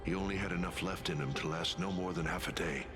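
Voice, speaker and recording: An actor playing a courteous, weary military officer of an ancient kingdom in a video game, speaking formally and hesitantly. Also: male voice, low-pitched, quick pace, over music, lightly acted. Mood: grave, weary. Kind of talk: video game story dialogue.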